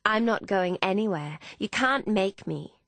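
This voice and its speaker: A woman's voice: fairly high pitch, sing-song, takes it slowly, sharp tone, slightly rough, dry recording, pitched above the performer's own voice, heavily acted. A woman voicing a defiant, pouting seventeen-year-old would-be actress in an audiobook, with a girlish dramatic manner. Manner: petulant, triumphant, girlishly dramatic.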